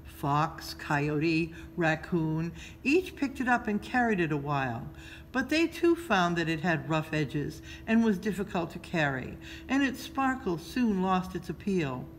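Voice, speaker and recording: A woman reads a children's story aloud in a measured, expressive storytelling style, pausing between phrases. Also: on the low side, rough recording. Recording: noticeable background noise.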